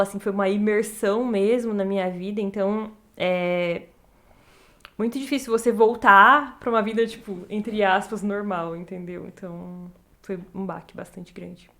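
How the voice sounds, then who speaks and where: This is a young woman from Brazil who speaks. She is a podcast guest and tells her story in a relaxed, easygoing way, full of little fillers. Her voice sits at an ordinary middle pitch for a woman. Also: measured pace. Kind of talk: casual chat.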